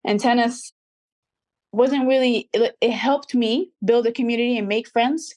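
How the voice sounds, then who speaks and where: A woman's voice: a little high, measured pace, a little mellow. Young woman. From Dubai. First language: Arabic. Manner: earnest, reflective, slightly formal. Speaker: guest, recording artist. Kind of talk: interview answers.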